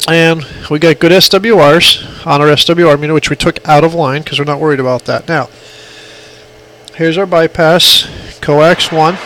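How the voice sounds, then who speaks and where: Casting: man, slightly high voice, plainspoken hobbyist walking through gear informally, repeats key points, faint noise on a homemade phone recording. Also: steady tone, normal speed, fairly bright tone. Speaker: radio hobbyist demonstrating equipment. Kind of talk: informal hands-on tutorial. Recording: clearly noisy amateur phone recording.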